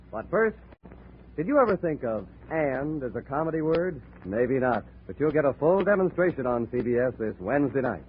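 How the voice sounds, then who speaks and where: A man's voice: on the high side, slight background noise. Polished old-time radio announcer man. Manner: bright, polished promotional delivery. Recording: tinny old radio broadcast recording.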